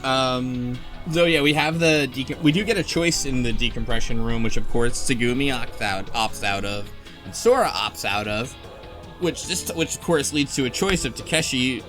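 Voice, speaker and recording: A man hosting a casual podcast discussion of a game story, talking in an animated, argumentative way. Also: medium pitch, normal speed. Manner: animated, argumentative, quotes characters while explaining. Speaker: podcast host. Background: music behind the voice.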